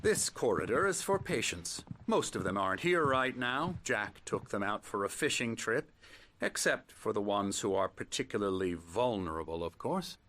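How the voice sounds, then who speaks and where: Patronizing, low-voiced clinic psychiatrist. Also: male voice, expressive, a bit bright. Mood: condescending, soothing. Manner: patronizing, measured, formally polite. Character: smooth condescending male psychiatrist running a clinic, quietly controlling. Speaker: doctor. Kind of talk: video game dramatic acting.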